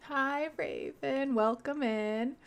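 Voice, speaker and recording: A woman's voice: high-pitched, vocal fry. A young woman streaming a game, chatty and laughing often, greeting viewers by name, her voice congested with a sore throat. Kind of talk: casual game stream chat.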